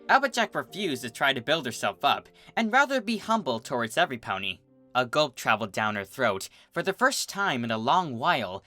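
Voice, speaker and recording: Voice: mid-range voice, pushed and bright. A narrator reading a scripted fan fiction story in a dramatic storytelling style, with music behind.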